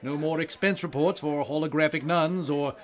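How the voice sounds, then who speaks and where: Man as a pompous, high-pitched government secretary. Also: steady tone, moderate pace, natural voice. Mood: veiled threat. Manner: hesitant uh-peppered, smug politician's drawl. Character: pompous, self-serving male government secretary with political ambitions. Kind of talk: audio comedy drama acting.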